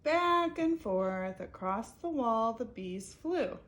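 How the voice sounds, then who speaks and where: A woman's voice: a little high, animated, slow pace, a bit bright. A woman reading a picture book aloud expressively, stopping to ask her young listeners questions. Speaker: reader for children.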